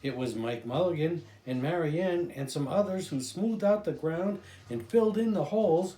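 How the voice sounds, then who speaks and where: An older man reading a children's storybook aloud, drawing out key phrases with deliberate emphasis. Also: on the high side, mellow, echoey room, a little hiss or hum, rough recording. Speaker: storybook reader, grandfather.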